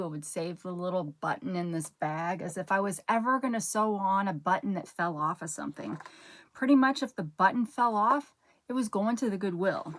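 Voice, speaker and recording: A woman hosting her own channel, chatting casually as she unpacks and sorts through a haul, rambling and breaking off mid-thought, with a soothing voice. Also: mid-range voice. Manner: rambling, chatty, self-interrupting while sorting items. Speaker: channel host. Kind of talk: casual haul vlog chat.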